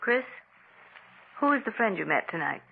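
An actress playing a devoted, trusting wife in old-time radio melodrama, with emotional, exclaiming delivery. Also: female voice, medium pitch, big pitch swings, normal speed, gravelly. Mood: hurt, firm. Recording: tinny, noisy vintage radio recording.

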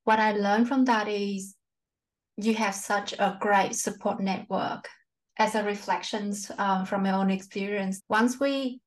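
A woman hosting a podcast interview, asking questions gently and thanking her guest, with non-native phrasing. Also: medium pitch, steady tone, measured pace. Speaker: podcast host.

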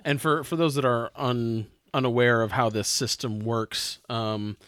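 A man's voice: medium pitch, fairly even intonation, a little slow, fairly bright tone, clean tone, dry recording, studio quality. A man talking casually as a player in a tabletop role-playing game. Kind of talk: casual tabletop game chat, explaining rules.

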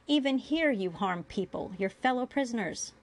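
A woman's voice: fairly high pitch, expressive, normal speed, voice pushed up, close to an everyday voice. A woman voicing a cruel female alien interrogator in a dramatic story reading, accusing and full of contempt. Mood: contemptuous.

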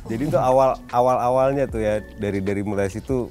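A man hosting a podcast, asking questions in a relaxed way and ending them with tag phrases. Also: medium pitch, quick pace, soft background music. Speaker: podcast host. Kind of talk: interviewing.

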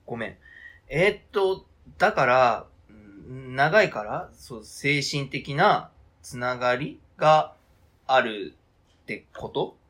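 A man's voice: mid-range voice, expressive, slow, a bit bright. A man acting in a radio drama reading as a baffled, defensive adult who protests informally and gropes for words. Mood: hesitant, placating. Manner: hesitant, informal, groping for words.

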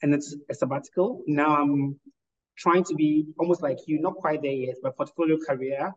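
A man's voice: on the high side, fairly even intonation, dull audio, slight background noise. A man from Ghana, a lawyer and entrepreneur, answering interview questions in an articulate, analytical way. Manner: articulate, analytical, measured with fillers.

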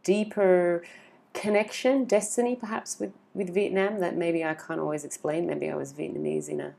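Australian accent, woman, low pitch, gravelly, casual rambling interview talk. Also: steady tone, normal speed, dark tone. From Sydney, Australia. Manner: chatty, rambling with many fillers and restarts. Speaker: guest.

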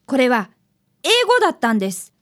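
A woman's voice: high voice, big pitch swings, fairly quick. A woman voicing an eager, polite fifth-grade boy apprentice detective in a radio drama. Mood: proudly explaining. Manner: earnest, polite boyish speech.

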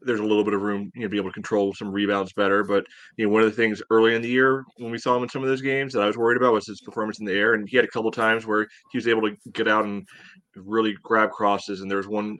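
Low-voiced man, dry and close-sounding. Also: flat delivery, very fast, fairly bright tone, no echo. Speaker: podcast co-host. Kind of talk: casual sports analysis chat.

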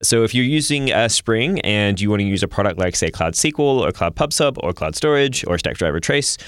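A man's voice: fairly low pitch, lively intonation, brisk, dry recording, studio quality. A man co-hosting a tech podcast, chatting casually and with enthusiasm, rattling off product names and laughing at his own stumbles. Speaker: podcast co-host.

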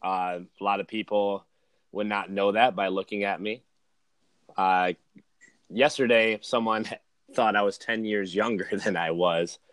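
A man's voice: on the low side, steady tone, measured pace, fairly bright tone, no room sound. A man in his early thirties talking as a podcast guest, casual and slangy, with lots of "like", "man" and "dude". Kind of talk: casual chat, personal storytelling.

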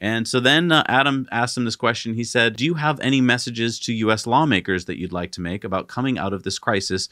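A man's voice: medium pitch, a little fast, hardly any pauses, sharp tone, no room sound, clean studio sound. A man co-hosting a conversational news recap, relaying questions and answers in casual paraphrase. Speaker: co-host.

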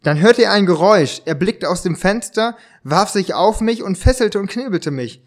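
Ein Mann spielt einen hoch klingenden, aufgeregten Schriftsteller. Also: male voice, high-pitched, lively intonation. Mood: puzzled. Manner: halting, stumbling over lines. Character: polite, agitated male writer robbed of his parrot. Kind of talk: amateur German detective radio play.

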